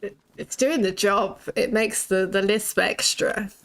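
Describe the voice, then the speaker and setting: A woman's voice: medium pitch, steady tone, measured pace, poor audio. A young woman with a lisp, chatting bluntly and swearing while streaming a game. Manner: blunt and sweary, laughs often. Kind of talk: casual chat while playing a shooter game.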